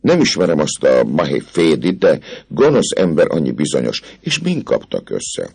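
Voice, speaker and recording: Casting: a coquettish, pouting young noble lady, high and questioning, put on by a male reader. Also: wildly swooping pitch, vocal fry, a little mellow, breathy edge, dry recording, exaggerated voice. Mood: anxious.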